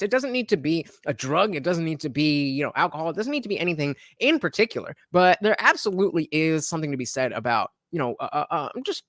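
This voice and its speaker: A man's voice: a little high, a bit bright, no room sound, clean studio sound. A man commentating on a podcast clip during a live stream, hesitant and full of fillers, with sardonic asides.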